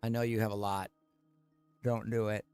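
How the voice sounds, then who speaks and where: A man's voice: on the low side, level tone, moderate pace, darkish tone, soft background music. A man livestreaming a game, chatting casually with viewers in a rambling, playful way with plenty of swearing. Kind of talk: casual live commentary with chat.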